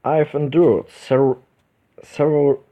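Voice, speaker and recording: A man from Germany with a German accent hosting a casual let's play, reading visual novel text aloud haltingly and correcting himself as he goes. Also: on the high side, level tone, warm tone. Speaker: gaming video host. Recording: dull lo-fi microphone, music behind.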